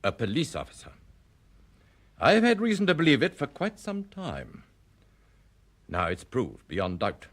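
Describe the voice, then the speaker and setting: An actor playing a measured, formal, guarded senior police commissioner. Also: male voice, medium pitch, huge pitch swings, a bit bright, exaggerated voice. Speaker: assistant commissioner. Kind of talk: 1960s radio drama acting.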